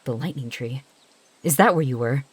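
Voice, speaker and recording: A woman's voice: rumbling low, moderate pace, slightly rough. A woman voicing a short-tempered female cat mentor who scolds in clipped questions, in an audio drama. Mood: stern.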